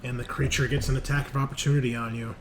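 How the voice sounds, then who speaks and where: A man's voice: medium pitch, flat delivery, racing, a crowd in the background. A man running a horror tabletop roleplaying game as game master, switching between dramatic narration and casual rulings. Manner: swears casually. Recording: clear background noise.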